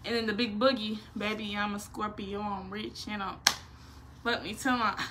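A woman's voice: medium pitch, normal speed, heavy background noise. A nineteen-year-old woman, chatting casually and playfully in a Q&A vlog from her bedroom. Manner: animated, slangy, playful, expressive hand gestures. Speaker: vlogger. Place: small bedroom.

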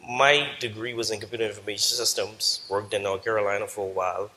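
Man, Bahamian accent, middle-aged, low pitch, hall reverb. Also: fast. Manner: relaxed, recounting career with fillers. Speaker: panelist. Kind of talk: conference panel talk. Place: large conference hall stage. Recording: long hall reverb, faint noise.

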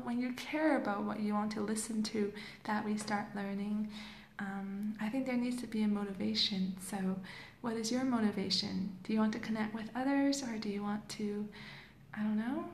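A woman's voice: a little high, a little mellow, echoey room. A young woman life coach, from Hong Kong and raised in Canada, talking loosely and reflectively into a phone camera with many fillers. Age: young adult. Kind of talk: casual live video talk. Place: small plain room indoors. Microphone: phone camera at arm's length.

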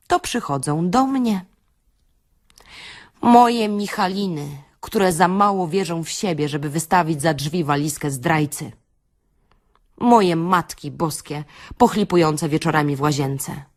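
A woman playing a frank, bossy beautician in an audio play monologue, confiding and wry, with theatrical pauses and an earthy, tender streak. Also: medium pitch, lightly acted. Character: frank, bossy female beautician parodying a self-help workshop leader, tender and earthy.

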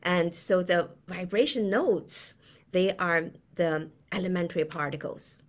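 A middle-aged woman from China with a Chinese accent, explaining in a conversational, halting way as an interview guest, often with a chuckle in her words. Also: on the low side. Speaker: guest, quantum physicist. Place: indoor room, video call.

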